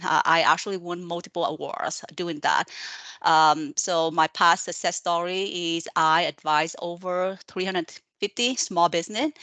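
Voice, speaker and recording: A woman's voice: fairly low pitch, bright, forceful tone, no room sound. A woman in her forties from Vietnam with a Vietnamese accent, a college professor giving an earnest, encouraging online presentation to students. She fills her talk with "uh" and keeps checking in with "okay?".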